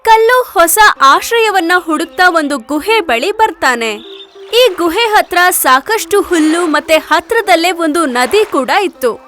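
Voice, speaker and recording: A woman's voice: very high voice, lively intonation, measured pace, a bit bright. A woman narrating a scripted children's story as a storyteller. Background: music.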